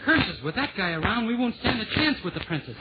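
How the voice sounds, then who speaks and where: A man's voice: sits high, very animated, quick pace. A man playing a scheming, sneering royal suitor with mock-villainous delivery in a 1940s radio comedy. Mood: sneering, jealous. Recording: very noisy, dull old radio recording.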